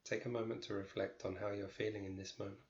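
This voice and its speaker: A man guiding a meditation as a teacher, speaking in short, measured phrases with pauses between them. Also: fairly low pitch, little pitch movement, darkish tone, echoey room, dull audio. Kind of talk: guided meditation.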